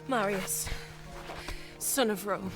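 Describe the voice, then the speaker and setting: An actress playing a proud, defiant barbarian warrior leader in a dramatic video game, speaking gravely. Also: female voice, mid-range voice, slow, natural voice, poor audio. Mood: solemn, resigned. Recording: loud music behind the voice.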